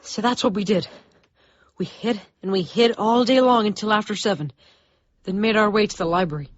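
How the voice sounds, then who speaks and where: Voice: mid-range voice, moderate pace, no echo, natural voice. A performer playing an earnest, frightened boy who narrates a radio drama with breathless intensity. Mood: tense. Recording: muffled radio broadcast with music behind.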